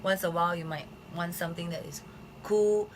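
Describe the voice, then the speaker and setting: A woman with a hoarse voice hosting a casual live health stream, chatting with lots of fillers. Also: fairly low pitch, steady tone, fairly quick, slight background noise. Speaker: host of a live health show. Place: Philippines.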